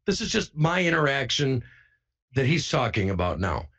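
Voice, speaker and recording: A man's voice: medium pitch, big pitch swings, moderate pace, a bit bright, muffled recording. A man giving an informal, insistent first-person account to viewers as an online broadcaster, repeating phrases for emphasis.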